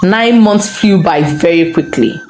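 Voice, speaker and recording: A woman's voice: mid-range voice, huge pitch swings, measured pace, darkish tone, roomy sound, soft background music. A woman storyteller reading a scripted folktale narration.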